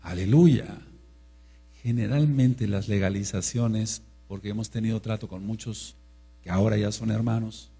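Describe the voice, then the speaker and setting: A man preaching a sermon in an emphatic manner, rising to loud exclamations, with a husky voice. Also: lowish voice, moderate pace, soft background music. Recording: mains hum.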